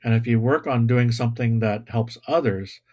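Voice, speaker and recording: A man giving a sermon to his congregation over a video call, relaxed and self-deprecating, with pop-culture asides. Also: mid-range voice, normal speed. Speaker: pastor. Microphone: video call or livestream.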